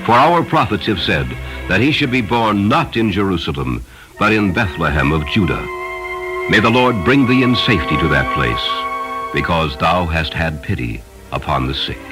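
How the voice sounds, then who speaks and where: Man voicing a deep-toned, grateful old man blessing solemnly. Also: lively intonation, normal speed, over music, rough recording. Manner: solemn, archaic prayerful phrasing.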